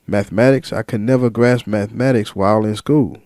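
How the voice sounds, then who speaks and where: A man talking freely to his viewers in a reflective monologue, slow and deliberate, listing ideas one word at a time with some fillers and repeats. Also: on the low side, fairly even intonation, darkish tone, no room sound, studio quality. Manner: lists ideas in measured single words. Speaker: vlogger.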